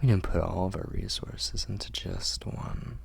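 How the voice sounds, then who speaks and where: A man playing a protective, tender vampire lord in an intimate ASMR roleplay, whispering in a breathy, husky, reassuring way. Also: low voice, noisy background, heavily acted. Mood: reassuring, coaxing. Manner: slow, hushed, reassuring. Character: protective, tender male vampire lord soothing his human.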